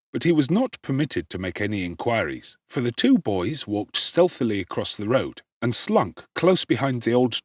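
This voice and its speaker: A man reads a classic novel aloud in a low register, with lively swings of intonation. His delivery is formal and measured, slightly husky, in a dry, close-sounding space. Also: no echo. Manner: measured, formal storytelling with expressive emphasis. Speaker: audiobook narrator. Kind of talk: scripted narration of a classic novel.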